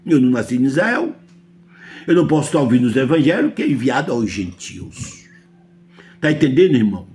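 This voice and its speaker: A man's voice: mid-range voice, sing-song, a little fast, long pauses, a little mellow. A man from Brazil with a Brazilian Portuguese accent, preaching a sermon emphatically and often asking his listeners whether they understand.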